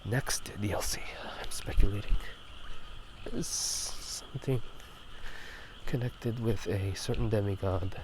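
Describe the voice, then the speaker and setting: A man speaking in soft whispers for a casual ASMR gaming chat outdoors at night, unscripted and rambling, often correcting himself. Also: fairly low pitch, takes it slowly, noisy background. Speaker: video host. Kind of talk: whispered ASMR chat about video games. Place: outdoors at night near rice fields.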